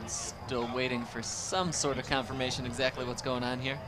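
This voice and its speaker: A man calling steady, descriptive play-by-play for a high school football game from a press box, with outdoor noise behind him. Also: normal speed. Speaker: play-by-play commentator. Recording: noisy outdoor stadium feed.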